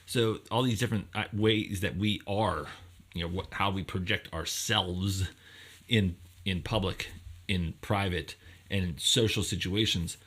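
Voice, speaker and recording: Male lecturer, fairly low and noticeably husky, explains ideas conversationally, thinking aloud and questioning as he goes. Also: unhurried, fairly bright tone. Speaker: professor lecturer.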